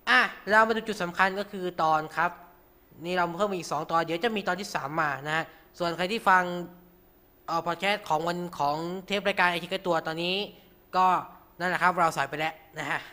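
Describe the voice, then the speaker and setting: A man's voice: sits high, bright, forceful tone. A man hosting an informal tutorial, talking through each step with frequent polite particles. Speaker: radio show host.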